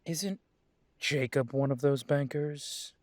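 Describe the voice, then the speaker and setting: A man voicing a young adult werewolf in an audio drama, earnest and proud, his words slow and unhurried. The close, dry sound keeps his mid-range voice right up front. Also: mid-range voice, steady tone, no room sound. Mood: friendly. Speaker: audio drama creator and lead voice.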